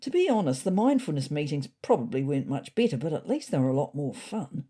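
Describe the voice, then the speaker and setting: A woman plays a fretful, thoughtful ginger cat reading a comedy diary. The voice is low and husky, with very mobile intonation and a dry, exasperated wit. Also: sing-song, fast talker, mellow. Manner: dry, wry, mildly exasperated. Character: thoughtful, slightly neurotic, wry ginger cat diarist. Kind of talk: scripted comic diary narration.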